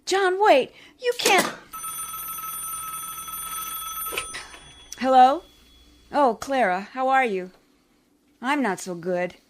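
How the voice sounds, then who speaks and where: A woman acts out a flustered housewife from a 1940s radio comedy. Her voice sits fairly high and moves in lively swoops. She sounds plaintive and melodramatic. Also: slow pace, slight background noise.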